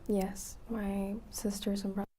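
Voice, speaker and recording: An eighteen-year-old woman from Iraq, a witness in court, soft-spoken and answering briefly and quietly. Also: medium pitch, completely flat delivery, unhurried. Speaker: trial witness.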